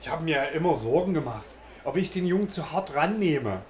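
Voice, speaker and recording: A man's voice: a little high, animated, brisk, reverberant, natural voice. A man playing a self-important, eager, strict and boastful father in a comedic radio play. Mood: proud. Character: boastful strict father, proud chicken-wing dispatch boss.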